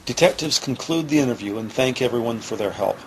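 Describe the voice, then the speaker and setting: A man's voice: medium pitch, animated, fast, a little hiss or hum, low-quality recording. A man reading scripted narration for a police audio drama.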